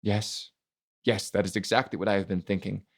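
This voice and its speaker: Man voicing a bold young prince, deep-toned. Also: fairly even intonation, vocal fry. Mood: impassioned. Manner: deliberate, commanding oratory. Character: bold, passionate young male prince rallying nobles. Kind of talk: dramatic audiobook character reading.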